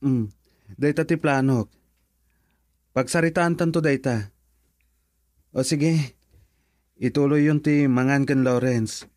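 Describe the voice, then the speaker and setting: A man's voice: mid-range voice, slow pace, long pauses, darkish tone, no echo, clean studio sound, close to an everyday voice. A man with a Filipino accent acting in a radio drama as an earnest son in love, eager to marry. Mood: affectionate, teasing. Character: adult son in love, eager to marry, reassuring his mother.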